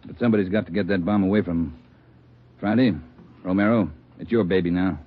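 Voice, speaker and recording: A tinny vintage radio recording of a no-nonsense police chief. His voice is low and his delivery rapid, economical and urgent, in 1940s crime drama style. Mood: grave, urgent. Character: grave, no-nonsense male chief of detectives briefing his men.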